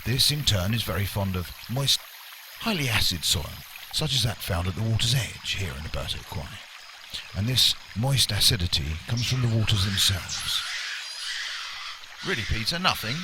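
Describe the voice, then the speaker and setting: Guy playing a stuffy radio naturalist, low voice, wordy and unbothered, trickling water behind him. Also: lively intonation, normal speed, noisy background, close to an everyday voice. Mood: cheerily oblivious. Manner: wordy, politely formal, digressive. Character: unflappable, pompous male radio naturalist presenter, oblivious to danger. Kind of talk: mock radio documentary narration.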